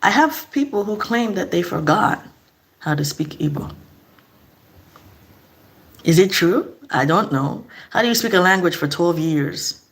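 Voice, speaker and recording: A woman's voice: on the low side, expressive, measured pace, long pauses. A middle-aged woman from eastern Nigeria, speaking in a casual, earnest vlog monologue and asking reflective questions. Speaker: vlogger.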